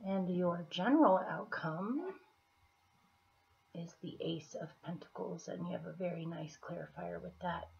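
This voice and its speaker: A woman with a slightly hoarse, scratchy throat, giving a casual tarot reading in an unhurried, deliberate manner with pauses as she explains the cards. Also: lowish voice, a little mellow. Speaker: tarot reader. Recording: dull, muffled lo-fi audio.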